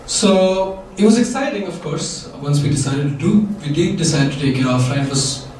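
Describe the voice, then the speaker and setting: A man's voice: on the high side, expressive, moderate pace, a little mellow, a little hiss or hum. Indian man telling a wry story on stage. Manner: wry, dry punchlines with audience laughter. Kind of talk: live comedic storytelling. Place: live event venue. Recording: echoey hall, mic from far. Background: audience laughter.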